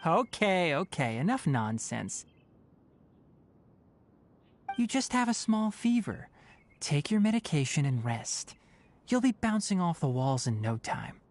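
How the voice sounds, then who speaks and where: Brisk young forest healer, lively delivery. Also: male voice, fairly high pitch, slight background noise. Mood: firm, reassuring. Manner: matter-of-fact, articulate, explanatory. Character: young male forest watcher and healer, brisk, knowledgeable, no-nonsense. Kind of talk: English game voice acting.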